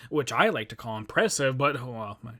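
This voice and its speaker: A young man performing a dramatic monologue as an anxious, self-deprecating nonbinary moviegoer, halting and rambling as he interrupts himself. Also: medium pitch, animated, a bit bright, natural voice. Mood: wry, self-deprecating.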